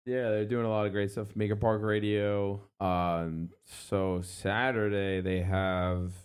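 A man's voice: fairly low pitch, flat delivery, slow, clear, resonant tone. A man hosting a local radio show, chatting casually and plugging upcoming events in an easygoing way. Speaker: radio host.

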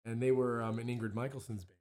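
A hoarse man, a musician guest, chatting casually on a local radio show with lots of ums and restarts. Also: mid-range voice, flat delivery, fast, a little mellow. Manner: rambling, many ums and restarts.

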